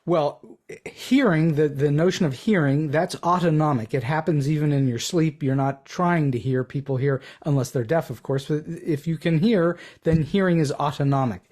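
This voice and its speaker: Male interview host, voice a bit high, measured and explanatory. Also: darkish tone. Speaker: podcast host. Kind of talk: interview questions.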